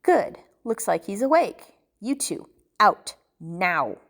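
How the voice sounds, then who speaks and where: A woman voicing an exasperated, menacing bartender man interrogating a captive, with slow, deliberate, threatening delivery in an audiobook story. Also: mid-range voice, sing-song, a bit bright, full character voice. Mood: commanding.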